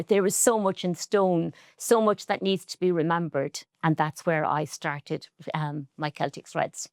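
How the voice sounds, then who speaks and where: Low-voiced Irish woman, earnest. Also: no room sound, clean studio sound. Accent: Irish, Cork. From Ireland. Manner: earnest, reflective, speaks with pride about heritage. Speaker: textile artist guest. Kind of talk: relaxed interview conversation.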